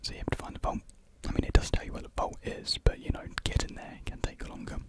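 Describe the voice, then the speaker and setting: A British man in his twenties whispering a casual ASMR ramble softly, close to a desktop microphone in a small room. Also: fast talker. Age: 20s. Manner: soft unvoiced whispering. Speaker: ASMR video creator. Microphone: close desktop USB microphone.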